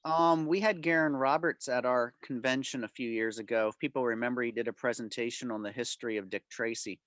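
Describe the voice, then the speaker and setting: A man's voice: medium pitch, steady tone, brisk, a bit bright, no echo. A man answering club members' questions informally on a video call, explaining things in detail.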